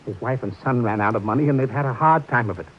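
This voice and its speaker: An actor playing a guilt-ridden, meek older ex-horse trainer in a vintage radio drama, talking earnestly and pleadingly. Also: male voice, quick pace, close to an everyday voice. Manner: earnest, pleading, jumpy pitch. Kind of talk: 1940s radio acting. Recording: dull lo-fi old radio broadcast.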